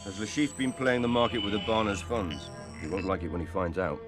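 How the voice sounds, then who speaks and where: A man's voice: fairly low pitch, fairly even intonation, a little fast, lightly acted. An actor playing a self-assured male secret agent with a dry, terse manner in a video game. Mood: cool, probing. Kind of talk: video game dramatic acting. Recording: loud music under the voice.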